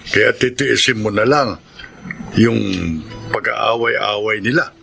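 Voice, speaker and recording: An actor playing a frank, stern middle-aged uncle who admonishes firmly in a Filipino radio drama. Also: male voice, on the low side, steady tone, takes it slowly, creaky, bright, forceful tone, faint music behind, heavily acted. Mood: admonishing. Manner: admonishing, firm.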